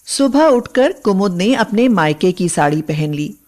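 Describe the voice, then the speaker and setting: Slow-paced woman narrating a story. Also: medium pitch, expressive, a little mellow. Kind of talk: scripted moral-story narration.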